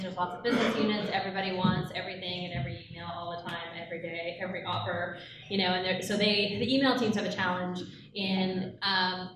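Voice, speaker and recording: A woman's voice: medium pitch, fairly bright tone, a little hiss or hum. A woman on a conference panel answering in a conversational, practical way. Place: large echoey event room, seated. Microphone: handheld mic. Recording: echoey room from far off.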